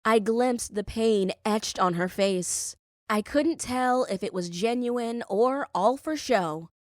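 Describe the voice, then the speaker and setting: A woman's voice: fairly high pitch, slow, dry recording, studio quality. A woman reading scripted first-person fiction as an audiobook narrator.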